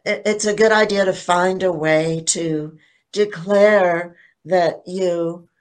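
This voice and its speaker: An older woman speaking as a panelist in a casual online discussion, slow and thoughtful, often restarting her sentences. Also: fairly low pitch, steady tone. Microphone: video call.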